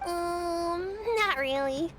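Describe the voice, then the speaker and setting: A woman's voice: very high voice, extremely slow, loud music behind the voice, full character voice. A woman voicing a worried, uncertain small child in an anime-style video game.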